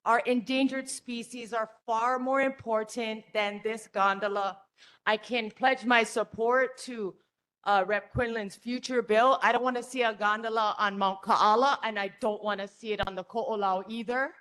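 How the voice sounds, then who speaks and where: A woman testifying at a public meeting, emotional and blunt, with a naturally loud voice. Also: fairly high pitch, fairly even intonation, moderate pace. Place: community meeting room.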